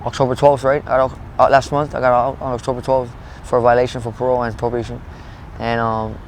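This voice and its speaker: A man in his late thirties talks quickly, rambling through his story, swearing and breaking off mid-thought. He is recorded outdoors in a parking lot, with faint traffic noise behind him. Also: mid-range voice, flat delivery. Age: 30s. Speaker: interviewee. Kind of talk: street interview, rambling personal story.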